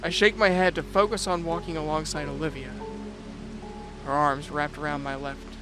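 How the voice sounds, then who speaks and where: Audio drama lead: dutiful young prince and heir played by a man, reserved and measured, narrating his own tale over music. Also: a little high, little pitch movement, close to an everyday voice. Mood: brooding, reflective. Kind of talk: first-person audio drama narration with dialogue. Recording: music and rain behind the voice. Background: music and rain.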